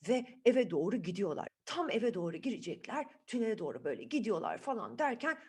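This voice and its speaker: A woman's voice: medium pitch, sing-song, fast talker, a little mellow. A woman telling a story to children in an improvised, chatty way, asking the listeners questions as she goes.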